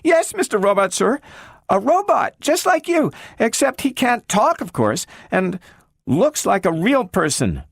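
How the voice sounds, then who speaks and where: A man voicing a polite, earnest little girl with a high treble voice. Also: very animated, unhurried, creaky, no room sound, clean studio sound, heavily acted.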